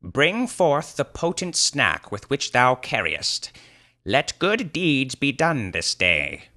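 A man's voice: mid-range voice, huge pitch swings, slow pace, pushed and bright, pitched above the performer's own voice, exaggerated voice. A man voicing a pompous, grandiose old bearded wizard who declaims in mock-archaic speech for a comic fantasy story. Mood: solemn.

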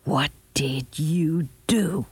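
A woman's voice: low-pitched, expressive, moderate pace, slightly rough, no echo. A woman voicing a stern old country grandmother, grimly exasperated, who mutters and scolds. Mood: accusing.

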